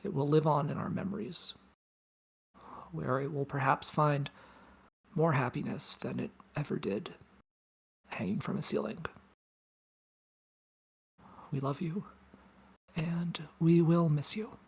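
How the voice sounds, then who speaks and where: A woman playing a tender, solemn mourner in a table read, with a breathy, husky voice and deliberate delivery. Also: low voice, exaggerated voice. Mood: solemn, mournful. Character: solemn, tender mourner giving a grand eulogy.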